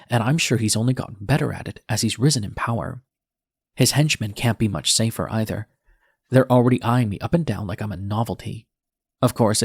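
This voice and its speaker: A man's voice: lowish voice, creaky, breathy edge, dry recording, studio quality. A man narrating an audiobook in the first person as a defiant, bitter young male nurse, with a sardonic, edgy manner.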